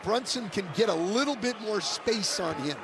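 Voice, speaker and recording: High-voiced male basketball analyst in loud arena. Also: expressive, moderate pace. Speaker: coach-turned-analyst. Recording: very loud arena background noise. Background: loud court noise, bouncing ball.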